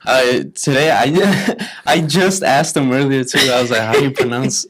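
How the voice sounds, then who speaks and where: A man's voice: medium pitch, normal speed, background noise. A young man co-hosting a podcast, loose and chatty, introducing a guest and tripping over names. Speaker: podcast co-host. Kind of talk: casual podcast chat.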